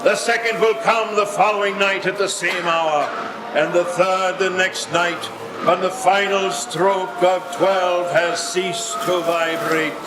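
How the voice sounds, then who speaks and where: A roomy, noisy live radio-play recording of a mournful chained ghost acted by a man. The voice is high and slow, declaiming every phrase with solemn theatrical weight. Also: steady tone. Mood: grave, foreboding. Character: mournful chained male ghost of a dead businessman. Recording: roomy live stage with clear noise.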